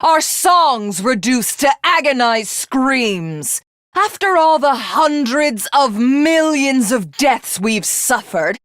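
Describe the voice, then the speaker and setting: A woman's voice: on the high side, a bit bright, dry recording, clean studio sound, exaggerated voice. A woman voicing a haughty, ruthless alien villainess in a video game, with a commanding, imperious and grandiose delivery. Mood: grave.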